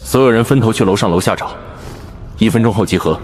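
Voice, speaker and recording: A man playing a domineering, foul-mouthed veteran survivor boss in animated drama, blunt and bullying. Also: fairly low pitch, fast talker, faint music behind, close to an everyday voice. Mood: commanding.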